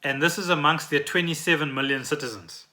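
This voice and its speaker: A man's voice: on the high side, a little fast, bright, forceful tone. A South African man hosting a podcast, explaining trending news in a conversational way and often checking in with the listener. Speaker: podcast host.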